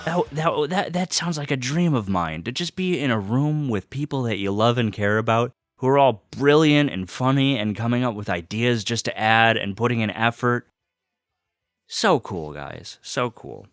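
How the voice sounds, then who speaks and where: A man's voice: medium pitch, animated, normal speed, dry recording, studio quality. A man chatting casually and very enthusiastically as a vlog host, gushing like a devoted fan, repeating himself and swearing freely. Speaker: host of a personal video series. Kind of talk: casual unboxing chat.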